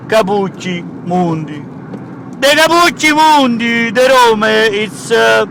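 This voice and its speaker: A rambling, self-important Italian-accented professor, as a man puts him on, pitched high and declaiming loudly. He wanders from point to point in broken English. Loud street traffic runs under the voice.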